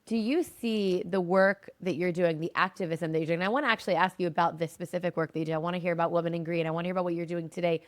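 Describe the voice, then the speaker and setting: A woman's voice: on the low side, steady tone, rapid-fire, hardly any pauses. A young woman from Tennessee hosting an interview podcast, keen and casual, filling her sentences with "like" and "you know". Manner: enthusiastic, uses casual fillers like "like". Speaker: podcast host. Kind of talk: interview conversation.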